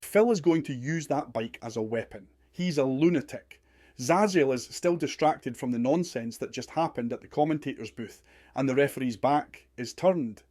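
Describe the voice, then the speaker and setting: A man's voice: medium pitch, lively intonation, moderate pace, a little mellow, no echo, clean studio sound, close to an everyday voice. A man playing an earnest wrestling commentator with florid, dramatic phrasing in a parody radio drama. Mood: dramatic commentary.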